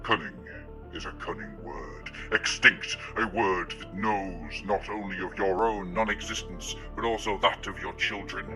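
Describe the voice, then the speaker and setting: A man putting on a deep, solemn dramatic narrator. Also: a little slow, heavily acted. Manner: grand, declamatory, building to a shout. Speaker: podcast co-host. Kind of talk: dramatic spoken-word cold open. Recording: music playing underneath.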